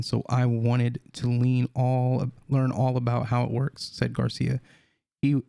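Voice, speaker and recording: Gravelly man reading crypto news. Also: medium pitch, mellow, no echo, clean studio sound. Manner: halting, with fillers and restarts. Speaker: crypto video host. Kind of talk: reading an article aloud with commentary.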